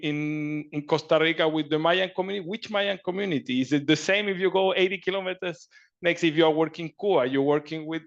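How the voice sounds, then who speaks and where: A man's voice: a little high, highs cut off. A man from Chile speaking earnestly in a meeting discussion, often repeating and restarting his words. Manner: earnest, repetitive, searching for words. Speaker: panelist.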